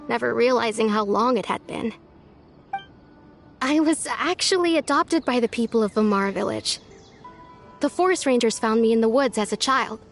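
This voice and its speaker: Gentle, lonely young villager: soft, earnest and wistful, with a fairly high voice. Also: female voice. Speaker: game villager character. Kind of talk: video game voice acting. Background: faint game music.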